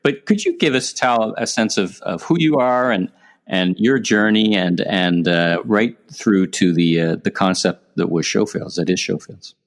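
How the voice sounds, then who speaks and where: A man's voice: on the low side, measured pace. A man hosting a podcast, reading a scripted introduction and then asking long winding questions that he corrects as he goes. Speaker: podcast host. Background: music under the intro.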